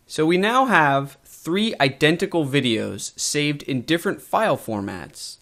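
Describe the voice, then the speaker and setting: A man narrating a scripted tutorial as a course instructor, measured and clearly enunciated. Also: a little high, fairly bright tone. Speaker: web design course instructor.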